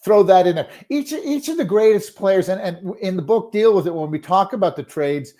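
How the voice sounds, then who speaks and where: A man of seventy from Montreal, a sports broadcaster and author, telling stories as a guest in a relaxed interview, with frequent fillers, restarts and chuckles. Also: high-pitched, a little fast. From Montreal, Quebec, Canada. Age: 70s. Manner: hesitant, many uh fillers and restarts, chuckles often.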